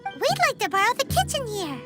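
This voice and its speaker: A woman voicing a tiny, cheerful, childlike fairy companion in an anime-style game, with a squeaky, bubbly delivery. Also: huge pitch swings, fairly quick, exaggerated voice. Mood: eager. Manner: bubbly, refers to self in third person. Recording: game music behind the voice.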